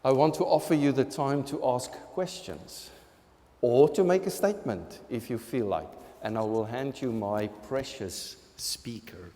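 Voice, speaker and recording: A man's voice: medium pitch, unhurried, dark tone. A man from South Africa with a South African accent, a pastor giving an earnest, conversational sermon and taking questions. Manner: earnest, conversational, laughs at his own jokes. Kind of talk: sermon with open questions from the congregation. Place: church sanctuary. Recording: long hall reverb in a church.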